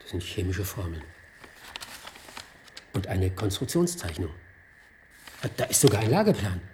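Animated, inquisitive male investigator. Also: deep voice, a little slow, slight background noise. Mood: curious. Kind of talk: German radio play acting.

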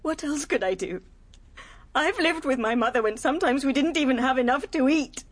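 Young English female: anxious radio-play wife, very high, swooping, pleading. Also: animated, normal speed. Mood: defensive. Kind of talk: radio drama acting.

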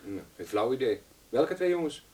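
A man's voice: medium pitch, very animated, normal speed, dark tone, breathy edge. An actor in a radio play voicing a candid but deferential policeman with a guarded, matter-of-fact manner. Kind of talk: radio drama acting. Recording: slightly noisy radio play recording, clicks.

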